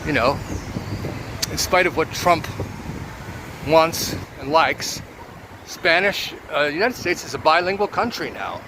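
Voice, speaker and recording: A man in his seventies talking about his life in a relaxed, reflective monologue, with many pauses. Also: fairly high pitch, noisy background. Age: 70s. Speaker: vlogger. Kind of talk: casual personal monologue.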